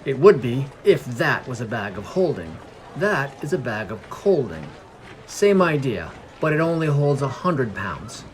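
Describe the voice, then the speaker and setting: A man's voice: mid-range voice, sing-song, unhurried, a little hiss or hum. A man voicing a serious, businesslike guard captain of about forty in a fantasy story, blunt and matter-of-fact. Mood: explaining, dry.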